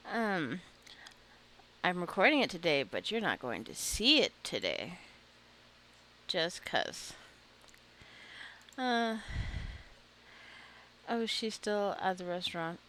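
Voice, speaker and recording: Slow-talking woman chatting through a game. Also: medium pitch, a little hiss or hum. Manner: relaxed, chatty, talks to the game characters, laughs often. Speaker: gaming video creator. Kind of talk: casual gameplay commentary.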